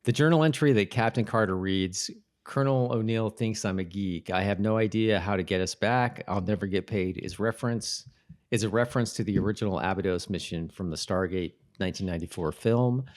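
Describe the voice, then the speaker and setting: Low-pitched man chatting on a podcast. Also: brisk. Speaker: podcast co-host. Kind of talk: casual chat about a TV show.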